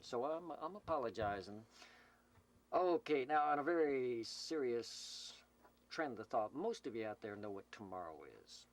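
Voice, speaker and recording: A man's voice: medium pitch, animated, unhurried. Folksy, teasing man making community radio announcements. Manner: joking, teasing, rambling. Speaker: CB radio announcer and host of a roll call. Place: Slab City, California desert camp. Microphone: CB radio microphone, filmed while speaking.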